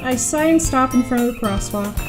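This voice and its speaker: A woman playing a reluctant, exasperated high school girl in an audio drama, with dry, grudging first-person narration and dialogue. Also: fairly quick, music in the background, natural voice. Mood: wry, resigned.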